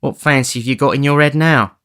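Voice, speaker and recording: A man voicing a weary, pale young woman who speaks softly and pleads tenderly. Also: mid-range voice, brisk, smooth, voice pushed up, lightly acted. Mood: pleading, tender.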